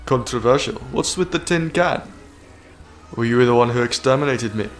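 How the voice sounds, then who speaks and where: A man's voice: medium pitch, normal speed, faint music behind, close to an everyday voice. A man playing a befuddled, flippant, eccentric hero in a fan audio drama, scatty and quipping.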